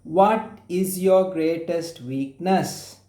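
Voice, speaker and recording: A man's voice: a little high, drawn-out words, warm tone, reverberant. A man with a Sri Lankan accent teaching spoken English, patiently repeating questions and giving brief praise over a call. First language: Sinhala. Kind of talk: oral drill over a call.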